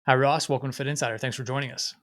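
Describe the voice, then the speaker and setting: A man hosting a podcast, reading a scripted intro then asking relaxed, friendly questions. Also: medium pitch, rapid-fire. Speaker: podcast host.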